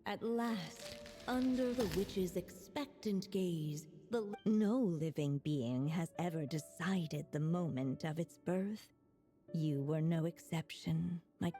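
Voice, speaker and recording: A woman voicing a calm, stately witch narrating a storybook tale in a video game, measured, solemn and literary. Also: on the low side, faint music behind. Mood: tender. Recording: long hall-like reverb.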